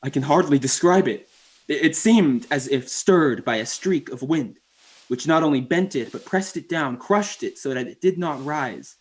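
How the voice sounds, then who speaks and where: A man's voice: fairly high pitch, normal speed, natural voice, low-quality recording. A man playing a hunter who narrates an eerie encounter in formal, dramatic old time radio style. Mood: uneasy wonder. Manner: formal, literary storytelling with dramatic emphasis. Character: male hunter recounting an eerie encounter, rattled but articulate.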